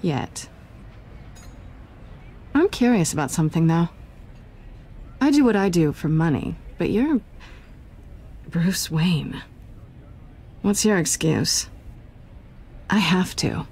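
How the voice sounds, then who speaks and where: A woman playing a sly, flirtatious, self-assured thief in a video game, with a teasing, sultry, deliberate delivery. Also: lowish voice, lively intonation, darkish tone, breathy edge, full character voice.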